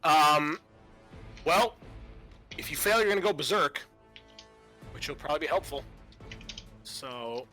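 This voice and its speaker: A man's voice: a little high, lively intonation, unhurried, pauses often, sharp tone, faint music behind. A man acting as game master, narrating a tabletop roleplaying game in casual, sweary language.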